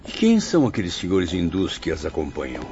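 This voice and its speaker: A man's voice: sits low, wildly swooping pitch, brisk, a little mellow. An actor playing a troubled, inquisitive male penitent spirit who tells his story earnestly and expressively in a radio drama. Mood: earnest, curious. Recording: dull lo-fi radio drama, prominent music.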